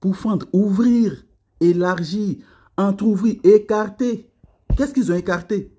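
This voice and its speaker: A man's voice: high-pitched, slow, warm tone, husky. A man hosting a live religious debate stream, reading verses aloud and laughing often with his callers. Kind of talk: casual live debate chat.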